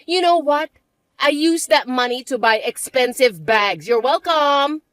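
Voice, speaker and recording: A woman voicing a spoiled young stepsister who taunts and bosses in a shrill, mocking way. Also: lively intonation, measured pace, a bit bright, clear, resonant tone, no echo, soft background music. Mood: taunting.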